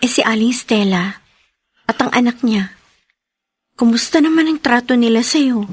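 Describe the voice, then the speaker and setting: An actress playing a concerned, sympathetic young female friend in a Filipino radio drama, gentle and breathy. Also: a little high, animated, moderate pace, no echo, studio quality.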